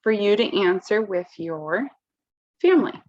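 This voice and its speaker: A woman reading a picture book aloud to children, expressively, pausing to explain it in simple words. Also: mid-range voice, expressive, darkish tone, dull audio.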